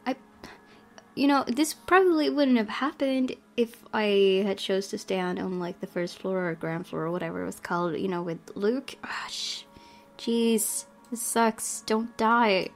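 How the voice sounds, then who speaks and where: A woman hosting a gaming video, reading game text aloud and reacting in an expressive, fretful way. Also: mid-range voice, a little slow. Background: background game music.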